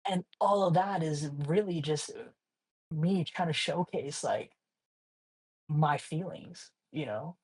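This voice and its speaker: Laid-back husky young man from Hawaii. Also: fairly high pitch, slow pace, darkish tone, rough recording. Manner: laid-back slangy talk, many "like" and "you know". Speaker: guest artist. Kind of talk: casual interview chat.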